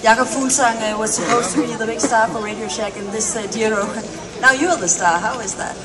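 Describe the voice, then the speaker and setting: A woman's voice: fairly high pitch, steady tone, over a noisy crowd. Danish woman presenter in a reverberant crowded venue. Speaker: event presenter interviewing riders. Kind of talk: live stage interview. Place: public team presentation event. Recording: distant PA in echoey crowded hall.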